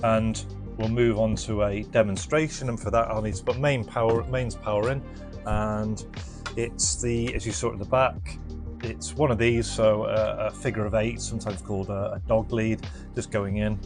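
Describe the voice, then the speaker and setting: A man's voice: lowish voice, little pitch movement, normal speed, music in the background. A British man giving an informal, unscripted hands-on product demo and review, explaining as he goes, recorded on a body-worn mic in a garage. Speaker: host of retro gadget restoration channel, demonstrating a product. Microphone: body-worn microphone.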